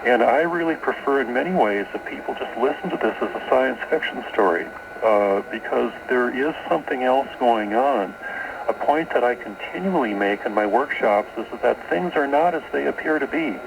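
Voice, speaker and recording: Through clear shortwave noise comes a man with a creaky, fry-laden voice at an average pitch. He speaks as an interview guest explaining esoteric beliefs, doubling back on words in a halting way. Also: animated. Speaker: guest author. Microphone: radio broadcast, taped off air. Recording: noisy shortwave radio broadcast on cassette.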